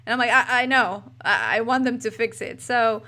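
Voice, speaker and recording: A woman's voice: on the high side, normal speed, sharp tone. A woman with a Texan accent co-hosting a podcast in casual chat, giggly and chatty, often trailing off mid-sentence. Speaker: podcast co-host. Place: home apartment.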